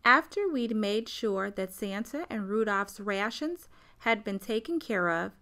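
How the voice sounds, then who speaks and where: A reflective adult narrator played by a woman in a family radio play. Her voice is middle-pitched, telling a childhood memory as a scripted story. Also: animated, measured pace, sharp tone. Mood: nostalgic. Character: grown woman recalling her childhood Christmas.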